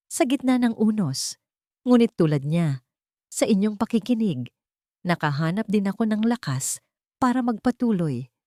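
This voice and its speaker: A woman's voice: mid-range voice, darkish tone, no echo, clean studio sound. A woman reading a scripted dramatic first-person story as an audiobook narrator.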